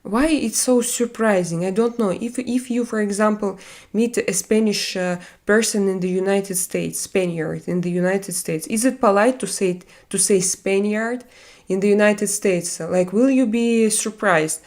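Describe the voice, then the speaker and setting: A Russian woman with a Russian accent, hosting a casual live-stream chat in English, her second language, often pausing with "uh" as she searches for words. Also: medium pitch, darkish tone. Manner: halting, frequent "uh" fillers while searching for English words.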